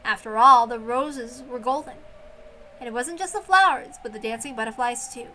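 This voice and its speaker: A woman reading a visual novel aloud as expressive story narration. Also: on the high side, normal speed, slight background noise, low-quality recording.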